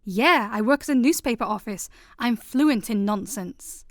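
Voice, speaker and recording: A woman playing a brisk, deadpan newspaper worker in a comic sci-fi radio play. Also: on the high side, no room sound, clean studio sound, natural voice. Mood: matter-of-fact.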